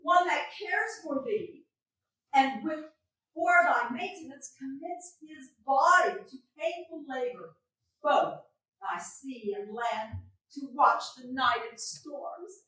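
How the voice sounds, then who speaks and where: A woman playing an earnest, admonishing wife, declaiming Shakespearean verse with measured emphasis in a staged reading. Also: high voice, animated, bright, forceful tone, full character voice. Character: Katherina, tamed wife giving an earnest admonishing speech. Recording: very echoey room, far from the mic.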